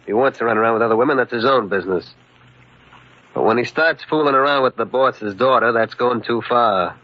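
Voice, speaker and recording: A man plays a tough American henchman in a radio crime drama. He talks in blunt street slang at a middle pitch, calmly threatening and matter-of-fact. The sound is a dull, muffled old broadcast recording. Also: brisk, close to an everyday voice. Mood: knowing, threatening.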